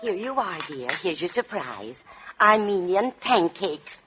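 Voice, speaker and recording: A woman's voice: fairly low pitch, big pitch swings, unhurried, over music. An actress playing a fussy, motherly, scatterbrained landlady in a 1940s radio comedy. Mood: cheerful. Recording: dull, noisy vintage radio recording.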